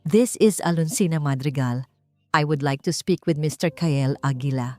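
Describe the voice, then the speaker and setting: A woman's voice: low voice, moderate pace, mellow, faint music behind, natural voice. A woman voicing a proud young female CEO who is humbled and desperate, speaking in a strained voice that tries to sound composed. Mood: tense.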